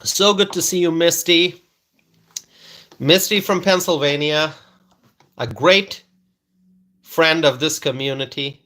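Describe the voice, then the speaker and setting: A man hosts a political live stream in a bright, forceful voice pitched a touch high. He keeps circling back to the same points and closes them with "okay?", stopping to greet viewers by name. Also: steady tone, slow pace, long pauses. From Bangladesh. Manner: repetitive, keeps adding "okay?" tag questions; hesitant fillers and restarts, greets viewers by name.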